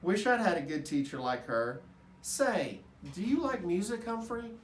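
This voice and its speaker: A big, jovial night janitor played by a man reading aloud from a children's storybook. He is booming, folksy and playful, pitched a little high, in an echoey room. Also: very animated, measured pace. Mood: chatty. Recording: roomy echo, distant microphone.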